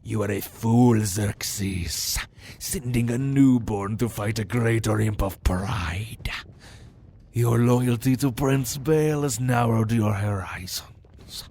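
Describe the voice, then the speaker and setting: A man playing a proud, contemptuous imp of pride in an ASMR fantasy roleplay, gravelly and theatrically scornful. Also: lowish voice, slow pace, dark tone. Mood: contemptuous, menacing. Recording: noisy background ambience.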